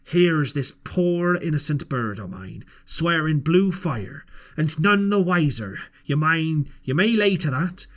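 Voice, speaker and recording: A man voicing a sly, folksy pirate cook who talks fondly in slow, rustic sailor speech for a storybook. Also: fairly high pitch, lively intonation. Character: sly, folksy pirate sea-cook fond of his parrot.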